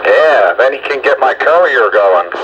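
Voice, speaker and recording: A man's voice: medium pitch, animated, measured pace. An older man chatting casually with fellow hobbyists over the radio as he hosts a round-up. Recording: very noisy radio transmission.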